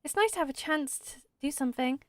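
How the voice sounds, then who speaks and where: A woman's voice: sits high, expressive, quick pace. A woman voicing an earnest, caring young female forest ranger in video game dialogue, polite and encouraging. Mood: warm, admiring. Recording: music behind the voice.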